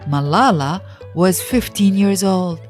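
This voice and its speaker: A woman narrating a scripted children's story podcast with deliberate phrasing and dramatic pauses. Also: lowish voice, animated, darkish tone, soft background music.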